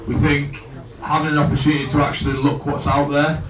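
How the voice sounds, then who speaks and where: Halting man in echoey hall. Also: fairly high pitch, little pitch movement, a bit bright. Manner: halting, laughs at himself, repeats words. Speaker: assistant coach. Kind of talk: casual speech at a fan evening. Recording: echoey room, far from the mic, noise behind.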